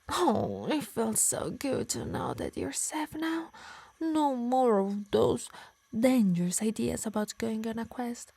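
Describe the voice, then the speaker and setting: A woman plays a yandere harpy, doting on her beloved while quietly controlling her. The voice is breathy and mellow with a creaky edge, often dropping into whispers. It is intimate ASMR roleplay, teasing and menacing by turns. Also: a little high, exaggerated voice. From Italy. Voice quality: breathy, soft. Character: possessive yandere female harpy, doting yet menacing lover. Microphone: close, dry microphone.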